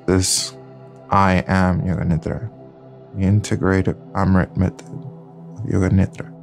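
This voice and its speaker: Slow, gravelly-voiced man guiding meditation. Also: sits low. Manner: soft, calm, unhurried instructions with long pauses. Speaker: yoga nidra guide.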